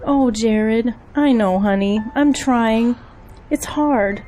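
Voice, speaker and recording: Busy political strategist and mom played by a woman, middle-aged, high-pitched, earnest, radio-drama delivery, slight noise behind. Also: slow, warm tone. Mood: earnest, pleading. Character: busy political campaign manager and single mother. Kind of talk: audio drama acting.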